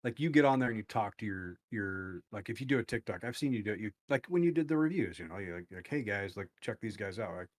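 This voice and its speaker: Low-voiced joking man, dry close mic. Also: expressive, dry recording. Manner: joking, drawn-out, many likes and you-knows. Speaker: guest streamer. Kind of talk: casual podcast chat.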